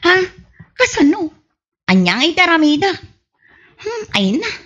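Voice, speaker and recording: A woman acts out an excitable, gossipy Ilocano wife and mother in a radio comedy. Her voice sits high and leaps around as she exclaims and bickers with an Ilocano Filipino accent. The recording is a bit dull and lo-fi. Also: unhurried, full character voice. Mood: flustered, indignant. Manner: exclaiming, animated.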